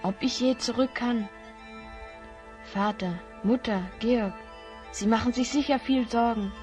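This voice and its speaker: A woman's voice: on the high side, measured pace, a little mellow, soft background music, close to an everyday voice. A woman playing an earnest young boy hero stranded in a fantasy world, in a children's audio drama. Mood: wistful.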